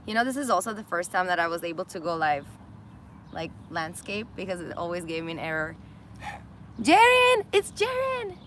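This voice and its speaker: Chatty woman host, voice going hoarse. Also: fairly low pitch, sing-song, moderate pace, noisy background. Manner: chatty, laughs often. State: losing her voice. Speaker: host of an Instagram live. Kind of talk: casual live-stream chat. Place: indoors in Armenia.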